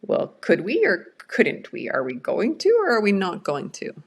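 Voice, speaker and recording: A woman's voice: mid-range voice, huge pitch swings, moderate pace, slightly rough. A middle-aged woman whose first language is Swedish, giving an earnest, reflective workshop talk on change management.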